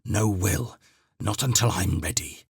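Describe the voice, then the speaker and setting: A man voicing an ancient, frail old male patriarch who croaks slowly and stubbornly. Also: low voice, no room sound, clean studio sound, exaggerated voice. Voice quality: croaking, slow and feeble.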